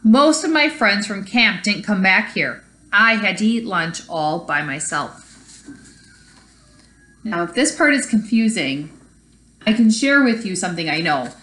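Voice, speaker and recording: A woman's voice: mid-range voice, sing-song, unhurried, long pauses, bright, forceful tone. A woman teacher reading a children's story aloud expressively and explaining it to young pupils. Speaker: elementary school teacher.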